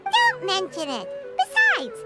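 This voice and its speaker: A chirpy tiny floating sidekick. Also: female voice, very high voice, wildly swooping pitch, slow, exaggerated voice. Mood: eager. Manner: chirpy, eager, refers to herself by name. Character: tiny excitable floating companion girl. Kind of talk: video game dialogue. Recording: game audio with music behind.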